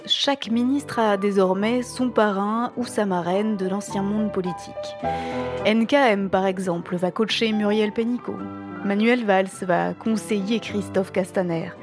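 A woman's voice: medium pitch, steady tone, a little slow. Woman narrating over prominent music. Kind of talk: scripted narration of a satirical audio drama.

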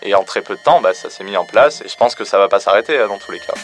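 A man's voice: lowish voice, fast talker, a bit bright, faint music behind. A man, talking informally about himself in an interview. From southern France. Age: 20s. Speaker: business school student interviewee.

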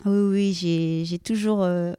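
A woman's voice: lowish voice, steady tone, measured pace, warm tone, clean tone, dry recording, studio quality. A young woman from French Guiana answering interview questions, hesitant, with many fillers and frequent laughter. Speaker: guest athlete.

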